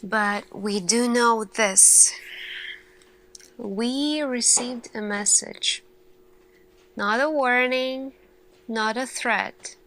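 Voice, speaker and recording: A woman playing an earnest lead scientist in an ASMR roleplay, speaking deliberately in short phrases with dramatic pauses. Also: a little high, sharp tone, poor audio. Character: earnest female lead scientist addressing her team. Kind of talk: slow ASMR roleplay monologue.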